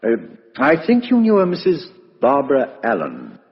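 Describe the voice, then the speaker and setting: An actor playing a genial, probing British chief inspector in a 1950s radio drama, colloquial and businesslike. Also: male voice, medium pitch, huge pitch swings, normal speed. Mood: politely probing. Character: brisk, genial but probing male police chief inspector. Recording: muffled, reverberant vintage radio recording.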